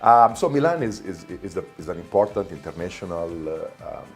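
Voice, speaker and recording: A man in his thirties with an Italian accent, a business guest talking in an interview, animated but hesitant, with many fillers and restarts. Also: low-pitched, fairly quick, soft background music. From Italy. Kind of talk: conversational interview. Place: studio set at a business club.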